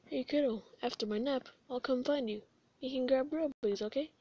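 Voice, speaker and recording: A woman voicing a laid-back, weary skeleton brother in a comic dub, with a casual, low-key delivery. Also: normal speed, no echo, muffled recording.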